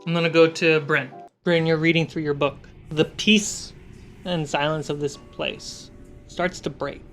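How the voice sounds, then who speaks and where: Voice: mid-range voice, fairly even intonation, soft background music. A game master narrating a tabletop fantasy game in short, suspenseful, dramatic phrases. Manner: slow, suspenseful scene-setting with short phrases.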